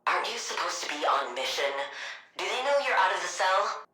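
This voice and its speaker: Cerebral villainess, video game role, low crisp-edged forceful voice, formal, dry, roomy sound. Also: female voice, sing-song, fairly quick. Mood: guarded. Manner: clipped, formal, guarded, dry. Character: reserved, cerebral female cold scientist villain, adult.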